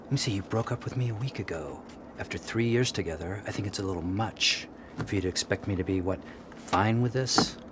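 A man's voice: on the low side, fairly quick, background noise, natural voice. An actor playing an irritable, sarcastic man in his thirties in a film drama, with music underneath. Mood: irritated, hurt. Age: 30s. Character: irritable, jilted man in a suit, tense and sarcastic.

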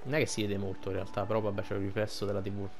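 A man's voice: on the low side, completely flat delivery, very fast. Casual male gamer over game music. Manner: informal, thinks aloud with occasional swearing. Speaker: gaming streamer. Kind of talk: casual video game playthrough commentary.

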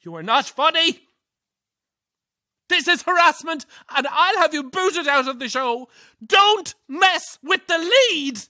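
An actor playing a haughty, jumpy older Irish pantomime star in an audio drama, theatrical and imperious. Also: male voice, very high-pitched, animated, takes it slowly, bright, forceful tone, no echo, heavily acted. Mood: frightened, indignant. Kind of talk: audio horror-comedy drama acting.

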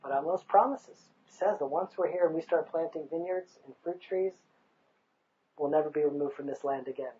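A man's voice: fairly high pitch, a little fast, dark tone, highs cut off, slight background noise. A man in his thirties from the United States, speaking passionately in an outdoor interview on a hilltop overlook, recorded with a lapel mic. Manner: passionate, emphatic, rhetorical.

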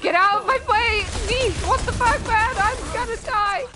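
A young woman with a Yorkshire accent chatting casually as a streamer while playing, excitable, swearing and yelping at the game. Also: very high-pitched, moderate pace, heavy background noise. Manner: excitable, swears, yelps in pain and shrieks. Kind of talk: casual gaming chat. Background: game music.

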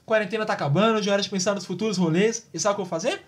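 A woman's voice: mid-range voice, very fast, close to an everyday voice. A woman voicing a goofy, cheeky, dim young boy in a Brazilian children's cartoon, whiny and clownish. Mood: playful. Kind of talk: children's cartoon comedy dialogue.

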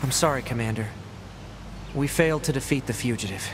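A man's voice: medium pitch, fairly quick, noisy background, close to an everyday voice. A man voicing a curious, earnest, youthful military android in a video game. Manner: earnest, inquisitive. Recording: music behind.

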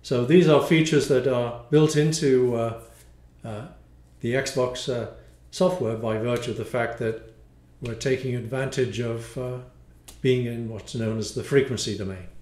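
A man in his sixties giving an explanatory, semi-improvised product demo in a measured, hesitant manner with frequent pauses. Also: medium pitch, steady tone, darkish tone. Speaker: module designer presenting a demo. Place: small home studio room, indoors. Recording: roomy, echoey home room.

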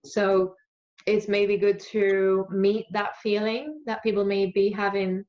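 A woman's voice: medium pitch, steady tone, slow. Young female host, friendly questions with frequent laughs, dull video call sound from a room by a window. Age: young adult. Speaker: interviewer. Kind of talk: casual video call interview.

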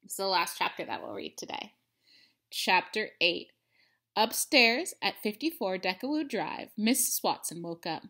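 Female storybook reader, thirties, expressive read-aloud with highly varied intonation and dramatic emphasis. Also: a little slow. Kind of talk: animated children's read-aloud. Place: indoors, living room at home.

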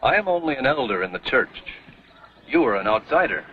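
An actor playing a stern, devout pioneer church elder who speaks in a solemn, firm way. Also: male voice, medium pitch, big pitch swings, fairly quick, lightly acted. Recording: dull old TV soundtrack with faint hiss.

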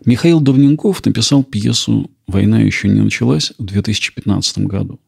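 A man's voice: lowish voice, fairly quick, vocal fry, mellow, breathy edge, dry recording, studio quality. A man hosting a podcast, reading scripted documentary-style narration. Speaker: podcast host.

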